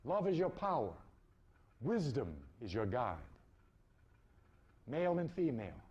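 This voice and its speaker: A man delivering a spiritual lecture in deliberate, preacherly phrases with rhetorical questions, on a dull, muffled recording. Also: mid-range voice, big pitch swings, darkish tone.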